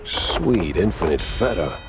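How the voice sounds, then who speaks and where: A man's voice: low voice, expressive, unhurried, music in the background. An actor playing a world-weary male mouse private eye in a cartoon noir game, with dry, sardonic delivery. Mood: musing. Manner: dry, sardonic, hard-boiled. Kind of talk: film noir narration and dialogue.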